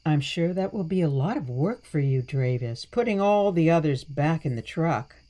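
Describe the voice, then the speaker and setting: A woman's voice: sits low, expressive, moderate pace, warm tone. A woman playing a weary but sweet and wry elderly grandmother in a radio play. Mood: gently wistful.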